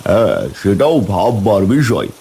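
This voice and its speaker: A man's voice: on the low side, huge pitch swings, moderate pace, dark tone. Man acting a commanding village boss, cartoon. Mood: authoritative, concerned. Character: village headman, and a greedy gruff male wholesale merchant.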